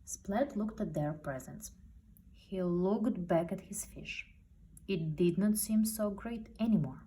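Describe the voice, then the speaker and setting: A woman's voice: medium pitch, slow pace, dark tone, recorded from a distance. A woman who is not a native English speaker reads a picture book aloud expressively, with a non-native accent. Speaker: parent reading aloud to a child.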